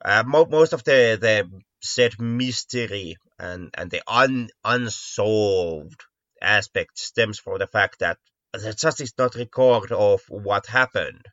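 Male co-host, halting repeated words, casual discussion. Slightly deep, recorded with some room echo. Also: a bit bright. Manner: stammering, often repeats words mid-sentence. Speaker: podcast co-host. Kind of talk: casual discussion of mystery stories. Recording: dull, lo-fi, roomy echo.

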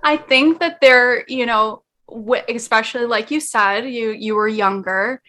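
A woman's voice: fairly high pitch, measured pace, sharp tone. A woman guest in casual conversation, talking earnestly and rambling with lots of fillers. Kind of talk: casual chat.

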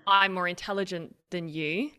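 A woman's voice: fairly low pitch, steady tone, moderate pace, pushed and bright, clean tone. A woman in her thirties with a mixed Australian and British accent, a guest telling her story openly and reflectively in an interview. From Australia and Britain. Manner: open, reflective storytelling with frequent restarts. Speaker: podcast guest. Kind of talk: conversational interview.

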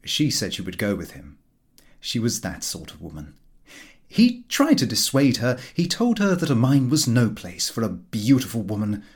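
A man reads a comic period novel aloud as an audiobook narrator. His pitch sits in the middle but swings very widely, giving the reading a highly expressive, animated shape. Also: breathy edge.

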